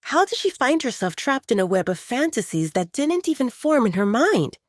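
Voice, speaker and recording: A woman reading a scripted story as an audiobook narrator, with an expressive, dramatic storytelling delivery. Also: fairly high pitch, normal speed, dry recording, studio quality.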